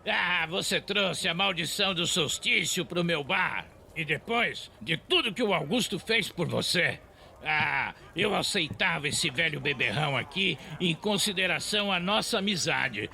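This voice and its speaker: A man playing a gruff, stingy tavern owner in an audio drama, scornful and blustering. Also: a little high, expressive, unhurried, bright, forceful tone, slight background noise, full character voice. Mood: angry, scolding.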